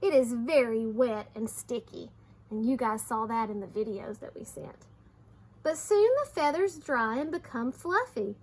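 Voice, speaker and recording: A woman teacher reading aloud to young children, expressive, with simple explanations along the way. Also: on the high side, unhurried, slightly rough.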